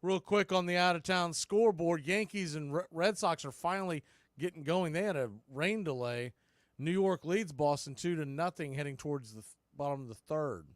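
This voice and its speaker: A man's voice: fairly high pitch, normal speed, no room sound, clean studio sound. An American man calling live baseball play-by-play for radio, in clipped phrases with conversational asides.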